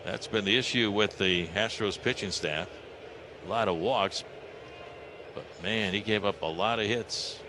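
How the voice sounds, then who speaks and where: An American man calling a live baseball game on the radio, in clipped descriptive phrases with some drawn-out calls. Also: on the low side. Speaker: baseball radio play-by-play announcer. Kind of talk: live play-by-play commentary. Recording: radio broadcast with clear background noise.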